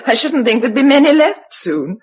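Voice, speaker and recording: A woman's voice: high-pitched, sing-song, moderate pace, a little mellow, slightly rough, full character voice. A woman playing a caring young wife and homemaker among survivors in a radio drama. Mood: busy, affectionate. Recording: dull lo-fi radio recording.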